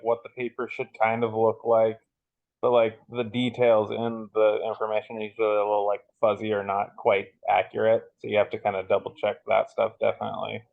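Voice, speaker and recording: A man's voice: on the low side, creaky, phone-quality sound. A man speaking casually as a student in an online class, hedging loosely, over thin call audio. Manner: hedging, peppered with "like". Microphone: video call mic.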